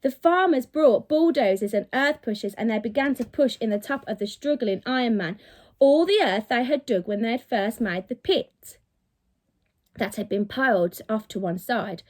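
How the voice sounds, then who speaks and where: A woman's voice: on the high side, moderate pace, a little mellow. A woman reading a children's story expressively, with dramatic, emphatic delivery, like a teacher reading to pupils.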